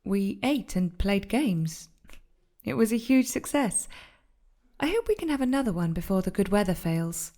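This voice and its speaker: Creepy calm woman patient, detached and guarded, soft mellow voice, radio play. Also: medium pitch, normal speed. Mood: detached, vague. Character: eerily calm, evasive, confused woman patient. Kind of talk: naturalistic audio drama acting.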